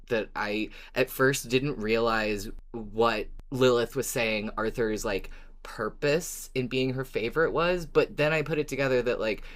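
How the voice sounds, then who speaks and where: A woman with a very low-pitched voice hosts a casual fan podcast. She talks with keen enthusiasm and leans hard on words for emphasis. Her language is relaxed and sometimes sweary. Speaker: podcast host.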